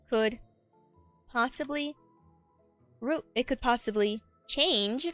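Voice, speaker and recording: A woman livestreamer chatting casually and writing aloud, haltingly repeating words as she goes, her voice a little cracky. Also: on the high side, a bit bright, no echo. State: voice a bit cracky. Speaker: streamer and writer. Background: background music.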